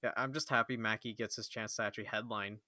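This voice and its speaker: A bright, forceful male voice on a movie podcast, recorded close and dry. He gets excitable and trips over restarts as he rushes to make his point. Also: lowish voice, no echo. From Filipino and Chinese heritage. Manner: excitable, stammers and restarts when eager. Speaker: podcast co-host. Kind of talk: casual movie review chat.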